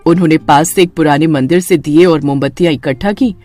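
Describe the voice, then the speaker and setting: A woman's voice: low voice, a little fast, dark tone, faint music behind. A woman narrator reading a scripted horror story in a dramatic storytelling manner.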